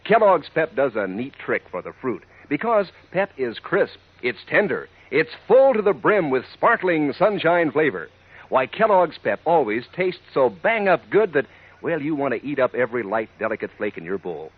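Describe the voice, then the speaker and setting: Old time radio ad guy, peppy folksy, bouncy pitch, tinny phone-like 1940s recording. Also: sing-song, normal speed, slightly rough. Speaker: commercial announcer.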